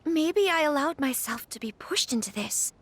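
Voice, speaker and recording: A woman's voice: high voice, lively intonation, normal speed, slight background noise. An actress voicing a troubled, polite young noblewoman in a video game, speaking earnestly and hesitantly. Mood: doubtful, apologetic. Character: troubled young noblewoman, polite and conflicted about her wedding. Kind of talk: video game voice acting, scripted dialogue.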